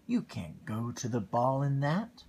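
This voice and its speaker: A man voicing a kindly older fairy woman in a bedtime storybook, speaking softly and cautioning. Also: mid-range voice, sing-song, measured pace, slight background noise. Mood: gently warning.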